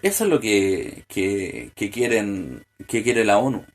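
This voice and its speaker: A man's voice: lowish voice, big pitch swings, takes it slowly. A man delivering a solo political podcast monologue, full of hesitant fillers and restarts, building to pointed rhetorical questions. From Chile. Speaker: podcast host.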